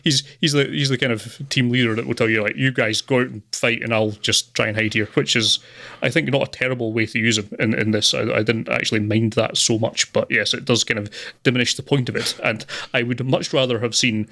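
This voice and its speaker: Guy on a film podcast, a bit hesitant, measured, mid-pitched voice. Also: fairly even intonation, creaky, pushed and bright. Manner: hesitant, measured, laughs often. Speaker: podcast co-host. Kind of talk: casual film review chat.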